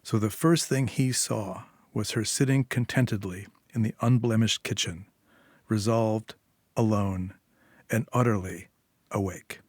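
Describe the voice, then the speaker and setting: A man's voice: lowish voice, steady tone, a little slow, a little mellow, no room sound. A man narrating a literary short story as its author. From United States.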